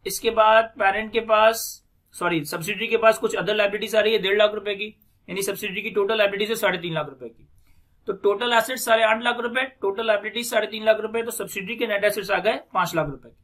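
Bright, high-pitched Pakistani male lecturer. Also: fairly even intonation, quick pace. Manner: methodical, repeats key phrases for emphasis. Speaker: accounting lecturer. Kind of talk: explanatory lecture mixing in English finance terms. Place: indoors, recorded screen lecture at a desk.

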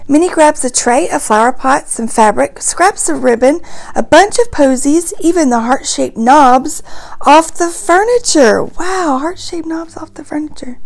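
High-voiced woman reading to children. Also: vocal fry, low-quality recording. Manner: expressive, addresses young listeners. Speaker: parent reading a picture book aloud. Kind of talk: read-aloud children's storytelling.

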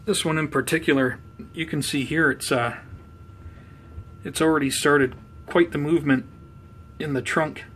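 A man giving an unhurried, conversational show-and-tell as a hobby vlogger, talking casually indoors. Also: fairly high pitch, fairly even intonation, a bit bright. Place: indoors at home by plant shelves. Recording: clear background noise, room ambience.

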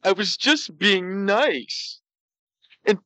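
A man voicing a pouty, self-justifying glutton who tells comic stories in a halting way. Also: sits high, lively intonation, sharp tone, no echo, heavily acted. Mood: indignant. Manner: pouty, slow, halting delivery. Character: pouty, self-justifying, gluttonous male talk show storyteller. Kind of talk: comedic in-character storytelling.

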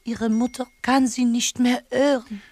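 A mystical female medium in a radio drama. She speaks slowly in a fairly high, breathy voice, halting and theatrically frail, as if channelling the dead. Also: flat delivery, darkish tone, no room sound. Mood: exhausted, gasping. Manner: slow, halting, breathy séance delivery. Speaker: actress in an audio drama. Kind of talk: radio play acting.

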